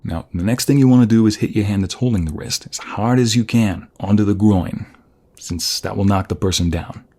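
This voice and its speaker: Guy doing a tender tough mobster husband for asmr roleplay, low gravelly voice, flirty and protective. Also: brisk, dark tone. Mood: patient, instructing. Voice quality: gravelly, husky. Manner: affectionate, coaxing, speaks straight to a partner.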